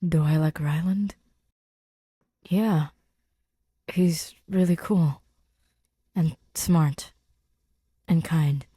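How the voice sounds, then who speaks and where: A woman narrating scripted fiction in an intimate, subdued, halting way, her breathy voice often near a whisper. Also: low-pitched, dark tone, dry recording, clean studio sound. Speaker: podfic reader.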